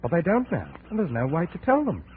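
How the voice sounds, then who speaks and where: A man acting a seasoned, hard jungle guide with a gruff, domineering manner in a 1950s radio drama. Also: fairly high pitch, huge pitch swings, fast. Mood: measured. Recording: scratchy, narrow-band 1950s radio recording.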